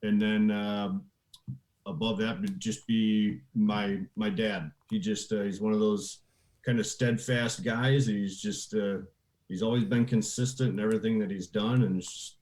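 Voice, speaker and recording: A man's voice: lowish voice, steady tone, normal speed, darkish tone. A man giving casual interview answers as a guest, plain-spoken with hesitant starts, in a roomy, echoey recording. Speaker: podcast guest, real estate investor.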